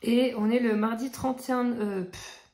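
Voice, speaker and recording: A woman's voice: fairly high pitch, steady tone, unhurried, a little mellow. A French woman in her thirties with a cold, chatting casually in a home vlog and rambling with many fillers and restarts. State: has a cold. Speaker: coloring vlogger. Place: home office room.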